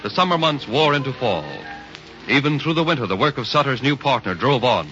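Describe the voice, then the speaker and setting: Forceful grandiose male radio narrator. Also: medium pitch, lively intonation, fairly quick, faint music behind. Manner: grandiose, declamatory. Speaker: narrator, announcer. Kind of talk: 1930s radio scripted narration. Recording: muffled 1930s radio recording.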